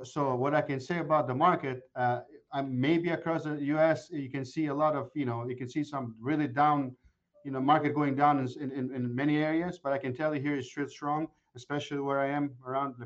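A man in his forties, a guest mortgage broker, chatting casually in an interview and telling animated stories with many restarts. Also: mid-range voice, a little fast, dull audio. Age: 40s. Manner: animated storyteller with frequent restarts.

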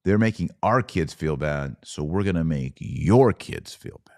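A man delivering an opinionated podcast monologue as host, speaking emphatically with rhetorical questions and dramatic pauses. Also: very deep voice, animated, a little mellow, no room sound, studio quality.

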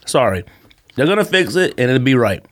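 A man's voice: medium pitch, sing-song, a little fast. A man co-hosting a podcast in a casual sports debate, repeating himself for emphasis. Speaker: podcast co-host.

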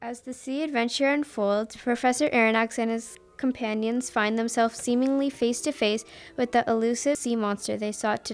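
Young female higher-voiced radio adventure narrator. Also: lightly acted. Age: teenager. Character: storyteller of a sea adventure. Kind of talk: scripted radio drama narration.

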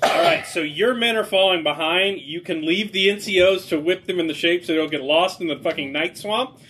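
A man's voice: sits high, brisk, heavy background noise. A man narrating and running a tabletop game as game master, casual and swearing now and then. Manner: casual, swears, describes scenes.

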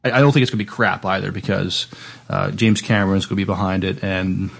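A man co-hosting a casual film discussion, speaking haltingly and repeating words as he searches. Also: low-pitched, fairly even intonation, vocal fry. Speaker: podcast co-host.

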